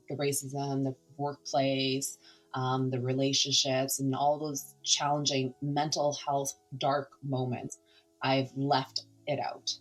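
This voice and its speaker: A woman's voice: rumbling low, a bit bright, poor audio. A middle-aged woman from Canada whose first language is Cantonese, speaking earnestly and reflectively as a podcast guest, with hesitations and restarts. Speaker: podcast guest, nonprofit founder. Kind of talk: candid conversational interview.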